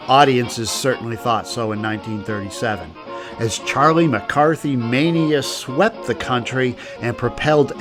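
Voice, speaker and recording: A man narrating a radio history documentary in a measured storytelling tone. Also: mid-range voice. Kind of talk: scripted narration.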